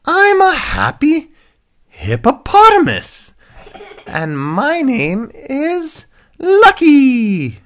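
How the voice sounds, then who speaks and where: Squeaky-high, overjoyed hippopotamus. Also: male voice, wildly swooping pitch, extremely slow, fairly bright tone, heavily acted. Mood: chirpy, helpful. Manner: exuberant, sing-song cheer. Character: relentlessly happy, friendly hippopotamus, chirpy storybook animal.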